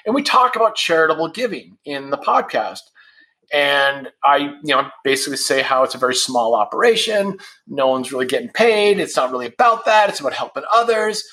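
A man's voice: on the high side, expressive, fairly quick, sharp tone, rough recording. A man in his fifties, a guest on a podcast, talking candidly and bluntly in casual conversation. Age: 50s. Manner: candid and blunt, swears freely. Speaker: podcast guest, founder of a supplement brand.

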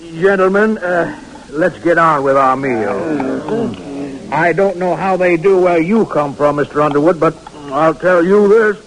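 An actor in an old radio drama playing a mocking, blustering diner who laughs at a new idea. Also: male voice, a little high, a little slow, lightly acted, rough recording. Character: scoffing male tavern diner, mocking and loud. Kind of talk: 1940s radio drama acting.

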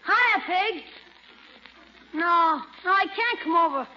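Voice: very high-pitched, expressive, takes it slowly, pushed and bright, exaggerated voice. A performer playing a cheeky, sulky schoolboy nephew with a whiny, brash manner in 1940s radio comedy style. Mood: chatty. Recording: muffled old radio broadcast.

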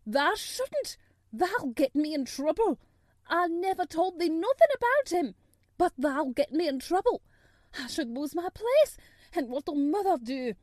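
A woman's voice: sits high, very animated, a little slow, dark tone, no echo, clean studio sound, voice pushed up, exaggerated voice. A woman voicing an anxious young maidservant with a broad Yorkshire accent, exclaiming in dialect. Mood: alarmed. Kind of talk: audiobook character voice.

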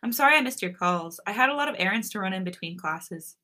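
A woman's voice: medium pitch, expressive, quick pace, bright, forceful tone. A woman playing a lovesick, vulnerable young woman student on a phone call, candid and wistful, in a romance story. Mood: relieved, apologetic.

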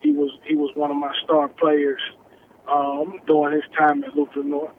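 A male football analyst calls in to a radio show over a tinny phone line. He talks in an easygoing way with hesitant fillers. His voice sits a little high. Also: monotone, moderate pace, a little hiss or hum.

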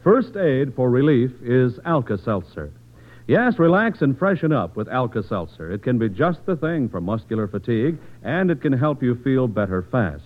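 A man's voice: mid-range voice, sing-song, normal speed. An American man announcing an old radio commercial in a polished, persuasive salesman's manner. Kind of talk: vintage radio ad read. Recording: narrow, lo-fi old radio recording.

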